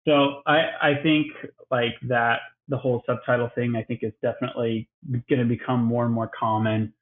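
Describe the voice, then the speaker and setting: A man's voice: medium pitch, little pitch movement, moderate pace. A man co-hosting a casual gaming podcast who talks in an easygoing, rambling way and laughs often. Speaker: podcast co-host. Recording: phone-like lo-fi line.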